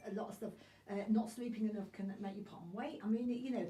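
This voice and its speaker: A woman's voice: medium pitch, steady tone, fast. A woman presenting a conversational radio interview in a studio, chatty and prompting her guest with questions. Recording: roomy, slightly distant, with clear background noise.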